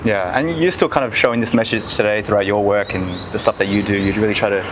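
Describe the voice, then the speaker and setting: A man interviews someone in a casual, conversational style. His voice is on the low side and his speech is quick, with a noticeable urban hum behind him. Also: fairly even intonation. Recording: noisy urban background hum.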